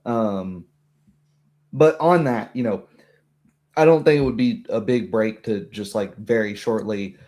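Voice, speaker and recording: A man's voice: lowish voice, a little slow, long pauses, darkish tone. A man chatting casually about a roleplaying game as its game master, hesitant and searching for words.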